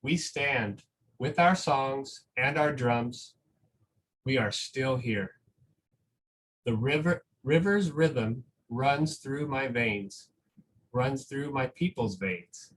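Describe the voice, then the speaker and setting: A man reading a picture book aloud as a solemn young girl, in a measured storytelling manner. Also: medium pitch, slightly rough, distant mic, poor audio.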